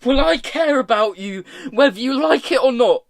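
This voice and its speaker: Man acting as hurt teenage boy, high strained pitch, shouting, protesting, indignant, audiobook drama. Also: expressive, moderate pace, gravelly, no echo, studio quality, exaggerated voice. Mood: angry, hurt.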